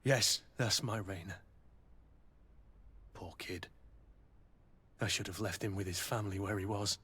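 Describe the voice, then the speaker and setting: An actor playing a hardened, protective army captain in a video game, talking in a terse, blunt way. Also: male voice, fairly low pitch, steady tone, a little slow, fairly bright tone, husky. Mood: grieving, subdued. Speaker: soldier, captain. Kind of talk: dramatic video game acting.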